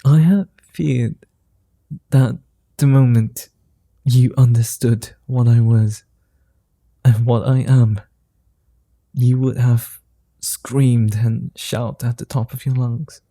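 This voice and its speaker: A man voicing a gentlemanly male vampire in an ASMR roleplay, speaking in formal, old-fashioned phrases and chuckling softly. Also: medium pitch, steady tone, slow pace, pauses often, no room sound. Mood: amused.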